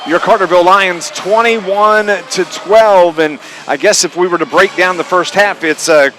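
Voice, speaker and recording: An American man calling high school basketball live on radio in a conversational way, from a gymnasium. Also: steady tone, measured pace, rough recording. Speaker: radio play-by-play sports announcer.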